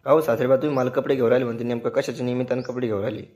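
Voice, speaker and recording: A man's voice: medium pitch, steady tone, rapid-fire, darkish tone, natural voice. A man playing a grumpy, sarcastic son-in-law in a comedy cartoon, speaking with a rural Maharashtrian accent. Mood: mocking. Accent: rural Maharashtrian Marathi. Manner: complaining, mocking. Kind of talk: comedy cartoon dialogue in colloquial Marathi.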